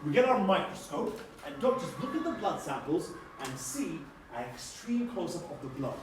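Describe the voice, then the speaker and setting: Male actor as flustered kindly doctor, animated swoops, emphatic, repetitive, children's live show, distant roomy sound. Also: on the high side, moderate pace. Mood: animated explaining. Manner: stammering, repetitive, emphatic, checking with "okay?". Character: excitable, kindly, flustered scientist doctor explaining things. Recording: echoey room, distant mic, faint noise.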